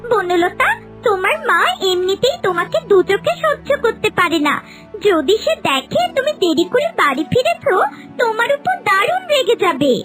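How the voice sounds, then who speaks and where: A fretful young girl in a fairy-tale cartoon. The voice is very high and bouncy in pitch, worried and urging, with music behind it. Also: big pitch swings, normal speed, a bit bright, full character voice. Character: anxious young girl, fretful companion of the heroine.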